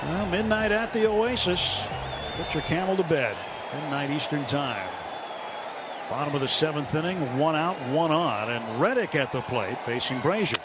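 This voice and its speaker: A man's voice: on the high side, expressive, normal speed. A man doing live baseball play-by-play on radio from a stadium booth, with colorful, folksy turns of phrase. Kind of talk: live sports commentary. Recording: loud ballpark crowd and noise. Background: roaring stadium crowd.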